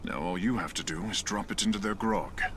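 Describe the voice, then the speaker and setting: An actor playing a wry outcast deserter who leads rebels in a video game, gravelly, with a dry, self-deprecating manner. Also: male voice, fairly low pitch, brisk, fairly bright tone, a little hiss or hum, lightly acted. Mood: urgent instruction. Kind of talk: video game character dialogue.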